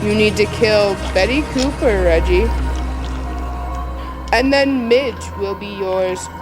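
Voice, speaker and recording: A woman's voice: mid-range voice, takes it slowly, music in the background, natural voice. A woman playing a sinister, seductive tempter in an audio drama, coaxing and menacing. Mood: coaxing menace. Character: sinister seductive female tempter bargaining for a soul.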